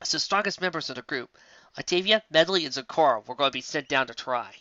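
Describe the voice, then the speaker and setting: Animated man reading a story aloud. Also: a little high, fast talker, no room sound. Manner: animated, voices every character. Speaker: audiobook reader. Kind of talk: reading fan fiction aloud. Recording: dull lo-fi audio, dry.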